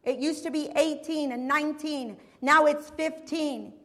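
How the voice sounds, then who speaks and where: A woman preaching a sermon in a church, earnest and measured, with a prayer full of repeated invocations. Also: high voice, fairly even intonation, bright, forceful tone. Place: church sanctuary.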